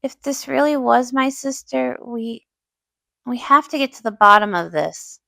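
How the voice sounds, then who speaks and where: Woman as an earnest high-voiced cartoon pony princess, creaky. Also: animated, unhurried, sharp tone, no echo, clean studio sound, full character voice. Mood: concerned. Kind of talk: fan comic dub cartoon acting.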